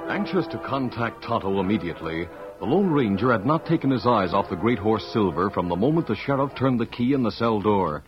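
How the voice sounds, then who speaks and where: A man's voice: fairly low pitch, lively intonation, brisk, lightly acted. A man narrating an old-time radio western in a dramatic, scripted storytelling style with an American accent. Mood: dramatic, suspenseful. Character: earnest, dramatic male storyteller of western adventure. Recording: dull vintage radio with music behind.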